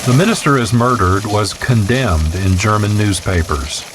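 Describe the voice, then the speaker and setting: A man narrating a scripted radio documentary in a measured, formal way. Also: lowish voice, very noisy.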